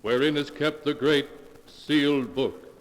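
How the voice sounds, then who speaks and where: A man's voice: fairly high pitch, fairly even intonation, unhurried, poor audio. Formal male 1940s radio announcer.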